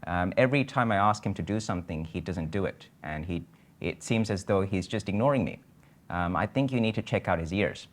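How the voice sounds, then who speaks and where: Man giving a measured medical lecture. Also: low-pitched, expressive. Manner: measured, explanatory, frequent ums. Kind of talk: conference lecture.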